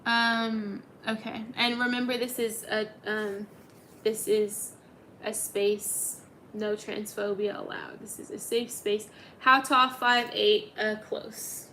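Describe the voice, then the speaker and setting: A woman's voice: mid-range voice, fairly even intonation, takes it slowly, pushed and bright, slight background noise. A woman in her twenties hosting a casual livestream, chatting and answering viewer comments with lots of ums. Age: 20s. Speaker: livestream host.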